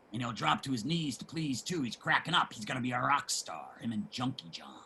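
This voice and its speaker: Casting: male actor as brash homeless ex-merchant marine, gravelly, forceful, crude and boastful, stage drama. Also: mid-range voice, a little hiss or hum. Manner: combative, crude street talker. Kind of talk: theatrical table reading of a stage play.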